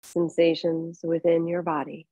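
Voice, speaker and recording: A woman teaching yoga nidra, leading a guided relaxation in a calm, unhurried, soothing manner with long pauses. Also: lowish voice, no room sound, poor audio. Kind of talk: guided relaxation meditation, instructing softly.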